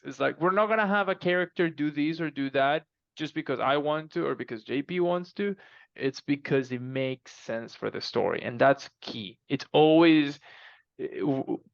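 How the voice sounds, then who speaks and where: A man chatting casually as a podcast guest, explaining things in an animated, emphatic way and often repeating himself. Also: medium pitch, gravelly, dry recording, highs cut off. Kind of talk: casual conversational interview answers.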